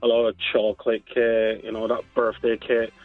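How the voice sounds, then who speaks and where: A man's voice: lowish voice, completely flat delivery, normal speed, dry recording. A man with a Barbadian accent, chatting casually as an interview guest. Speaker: interview guest, dessert business owner. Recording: tinny phone-like line, faint music.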